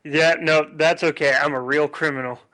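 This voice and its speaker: A man's voice: on the high side, fairly even intonation, normal speed, bright, forceful tone, slight background noise. A man chatting casually and jokingly as a guest on a podcast.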